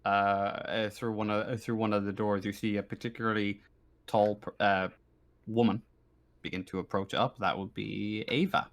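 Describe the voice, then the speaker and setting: A man's voice: fairly low pitch, little pitch movement, moderate pace. A man running a tabletop game as game master, improvising his narration and often searching for his words.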